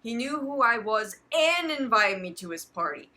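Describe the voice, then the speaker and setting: A woman's voice: fairly high pitch, big pitch swings, normal speed, pushed and bright. A woman reading fan fiction aloud for a comedy show, mixing dramatic storytelling with casual asides.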